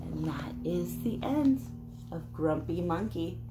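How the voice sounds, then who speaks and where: A woman reads a storybook aloud for young children. Her voice sits a little low but moves up and down a lot, at a slow, expressive, conversational pace with asides to the listeners. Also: heavy background noise. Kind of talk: animated picture-book read-aloud with asides.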